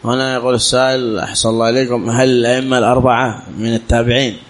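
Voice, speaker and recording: A man's voice: medium pitch, flat delivery, rapid-fire. A man in a classroom reading out questions to the teacher from away from the microphone. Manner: quiet questions read out from afar. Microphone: away from the teacher's mic. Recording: distant voice with faint room noise.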